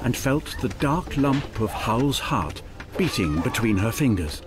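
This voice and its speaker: A man's voice: medium pitch, very animated, a little slow, music in the background. A man acting as the storyteller, giving scripted narration in an audio drama. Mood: storytelling. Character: storyteller narrating a fantasy tale.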